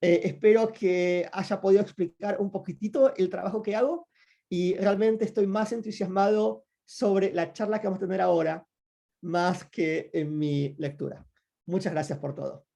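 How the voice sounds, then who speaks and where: A man's voice: sits high, steady tone, normal speed, vocal fry, mellow. A man giving an academic talk over a video call, speaking thoughtfully with repeated phrases and many hesitations. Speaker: guest lecturer. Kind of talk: academic talk with audience questions.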